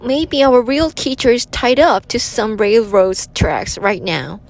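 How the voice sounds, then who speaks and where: Woman playing an excitable, high-pitched imaginative schoolkid. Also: measured pace. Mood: urgent, pleading. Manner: emphatic, exclamatory.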